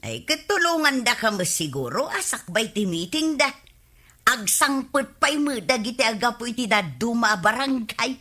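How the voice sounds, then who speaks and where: A woman's voice: a little high, sing-song, normal speed, bright, forceful tone, full character voice. A woman with an Ilocano accent playing a chatty, pushy, gossipy village wife in a radio comedy drama. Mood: coaxing.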